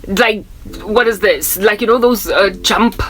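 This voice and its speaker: A woman's voice: mid-range voice, a little slow. A South African woman in her thirties, a preacher talking loosely to camera, rambling and repeating herself as she mixes in Zulu words. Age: 30s. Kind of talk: casual spiritual talk to camera.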